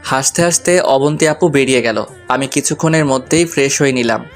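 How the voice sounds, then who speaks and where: A man's voice: medium pitch, brisk, soft background music. A man narrating a first-person romantic story in a playful, colloquial way.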